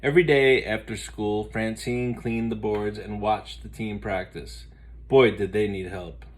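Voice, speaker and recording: A man reading a children's picture book aloud in an expressive storytelling style. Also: on the low side, measured pace.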